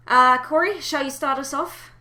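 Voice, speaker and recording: A woman's voice: sits high, moderate pace, background noise. A woman hosting a casual fan podcast, chatting in an informal, giggly way. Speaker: podcast host.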